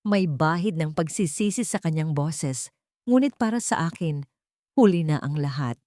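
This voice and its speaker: A woman's voice: low voice, animated, moderate pace, warm tone, no room sound, studio quality. A woman reading a scripted dramatic story in the first person, as an audiobook narrator.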